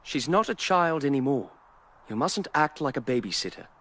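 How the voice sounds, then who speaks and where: An actor playing a courteous, composed nobleman in a video game, speaking formally and with measured words. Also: medium pitch, animated, a bit bright. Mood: advising.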